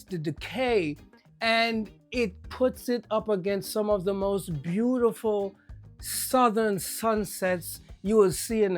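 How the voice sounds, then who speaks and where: Excitable Jamaican-accented game reviewer. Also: mid-range voice. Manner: enthusiastic, repeats words when excited. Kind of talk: casual video game review.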